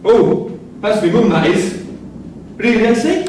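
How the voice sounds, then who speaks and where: Male actor for chatty, droll convict raconteur, higher register, distant and reverberant stage recording. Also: very animated, a little slow, darkish tone. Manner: droll, gossipy storytelling. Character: wry, chatty male prisoner telling anecdotes. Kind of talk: staged reading of a prison comedy-drama. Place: theatre room at a live staged reading. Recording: distant, very echoey hall, faint noise.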